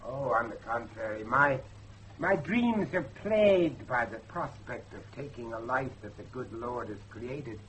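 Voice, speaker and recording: A middle-aged man acting a determined father and judge in old-fashioned radio drama style, with a dramatic, deliberate delivery. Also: medium pitch, animated, fairly bright tone, slight background noise, rough recording. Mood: grave, reflective. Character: grave, determined father, a judge seeking his missing son.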